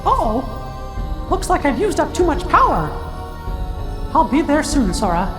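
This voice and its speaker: A small, cheerful cartoon mouse king who speaks in a high falsetto that bounces up and down. The tone is encouraging and warm. The sound is roomy with a long tail of reverb over loud music. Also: big pitch swings, unhurried, heavily acted. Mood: reassuring. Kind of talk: game cutscene voice acting.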